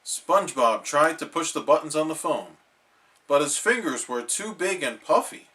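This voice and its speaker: A man's voice: fairly high pitch, lively intonation, normal speed, bright, forceful tone. A man reading a children's storybook aloud as a bedtime storyteller.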